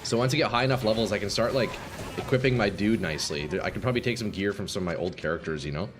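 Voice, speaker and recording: A man streaming a game live, chatting casually with viewers, swearing and reacting loudly, his voice going a little hoarse. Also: on the low side, very fast. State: slightly hoarse, losing voice. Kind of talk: casual live-stream chat while playing. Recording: faint game music behind.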